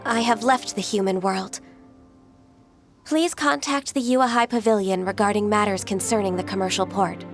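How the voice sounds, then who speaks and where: A woman voicing a gentle, wistful, half-immortal secretary in a video game, speaking politely and formally. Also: medium pitch, normal speed, soft background music, natural voice. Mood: reserved.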